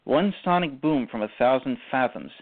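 A man reading scripted narration for a radio drama, halting and repeating phrases. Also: medium pitch, expressive, husky. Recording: muffled phone-like audio.

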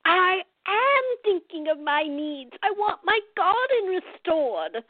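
A woman's voice: extremely high pitch, sing-song, slow, phone-quality sound, heavily acted. A woman playing a stubborn, fair-minded old woman, cantankerous yet composed, in a radio theater drama. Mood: firm.

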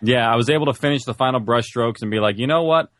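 A man's voice: mid-range voice, fast talker, no room sound. A man talking casually as a podcast guest, conversational and modest about his own work. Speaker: guest, audio drama creator. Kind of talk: casual interview chat.

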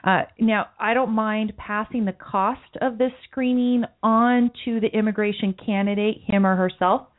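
A woman's voice: mid-range voice, fairly even intonation, moderate pace, hardly any pauses. Light-voiced woman host on a tinny line. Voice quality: light voice she calls mousy. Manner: earnest, repeats words while thinking aloud. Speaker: podcast host. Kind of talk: political talk show discussion. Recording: phone-like lo-fi audio.

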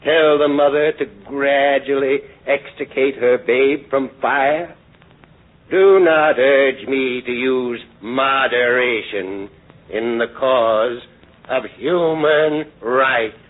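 An actor playing a stern abolitionist who recounts events in measured, solemn oratory for a 1940s radio drama. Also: male voice, medium pitch, natural voice. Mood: resolute, solemn. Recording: dull old radio broadcast recording.

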